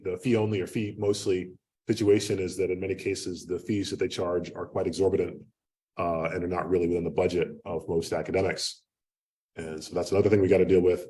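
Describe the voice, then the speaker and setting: A man giving an unscripted academic lecture in a loud, projecting voice, talking conversationally with lots of fillers and restarts. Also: sits low. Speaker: guest lecturer. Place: lecture room. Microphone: speaking away from the microphone. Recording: distant room mic.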